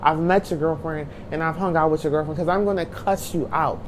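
A woman's voice: low voice, fairly quick, a little hiss or hum, rough recording. A woman in her thirties co-hosting a podcast, talking emphatically and repeating her lines for weight. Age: 30s. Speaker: podcast co-host. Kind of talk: casual chat.

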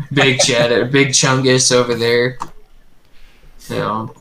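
A man's voice: mid-range voice, little pitch movement, takes it slowly, crowd noise. A man in casual, enthusiastic conversation as a gaming show co-host, with slangy talk and plenty of swearing. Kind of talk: casual chat. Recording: roomy echo.

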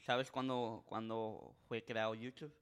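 Rambling twenty-something host. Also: male voice, fairly low pitch, level tone, slow pace, a bit bright, clear, resonant tone. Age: 20s. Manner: rambling storyteller, many filler words, switches between Spanish and English. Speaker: podcast host. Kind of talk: casual bilingual chat.

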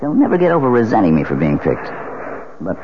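A dull, noisy vintage radio recording. an easygoing newlywed man with a deep voice and animated swings of pitch, affable but a little evasive. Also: fast. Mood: apologetic. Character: smooth, easygoing businessman newlywed husband, mildly evasive. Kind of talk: 1970s radio drama acting. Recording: muffled, noisy old radio broadcast.

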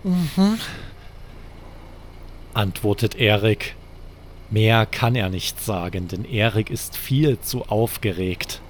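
A man narrating a scripted children's bedtime story in a measured, deliberate manner. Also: lowish voice, a little hiss or hum.

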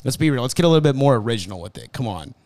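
A man's voice: mid-range voice, racing. A young man co-hosting a podcast, joking and ranting in casual chat with friends. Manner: laughing, joking, animated rants. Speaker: podcast co-host.

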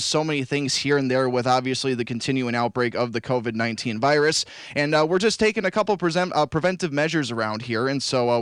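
A man's voice: medium pitch, a bit bright, no echo, studio quality. A young man hosting a local radio call-in show, talking casually with lots of fillers and reading ads. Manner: chatty and rambling, with many fillers. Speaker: radio host. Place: radio studio. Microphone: studio microphone.

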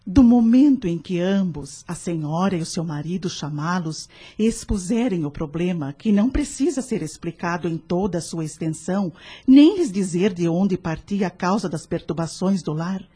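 An actress playing a wise, serene woman who gives kindly spiritual counsel in a radio drama, speaking in a measured, earnest, preachy way. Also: on the low side, animated, mellow, slightly rough, dry recording. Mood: earnest, soothing.

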